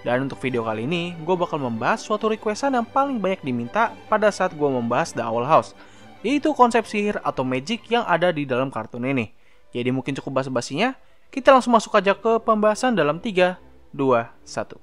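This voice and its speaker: Fast, animated young man. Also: fairly high pitch. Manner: informal, chatty. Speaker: video essay host. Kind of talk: scripted explainer narration with casual asides. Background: background music.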